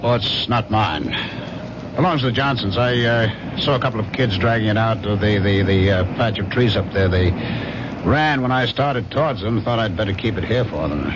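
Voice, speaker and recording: An actor playing a guilty, secretive husband in a 1970s radio suspense drama, brooding and evasive. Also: male voice, fairly low pitch, normal speed, lightly acted. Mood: evasive, nervous. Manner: brooding when narrating, hesitant and evasive in conversation. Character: guilty, secretive husband narrating his own crime. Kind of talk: 1970s radio suspense drama, first-person narration and dialogue. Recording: noisy, muffled old 1970s radio recording.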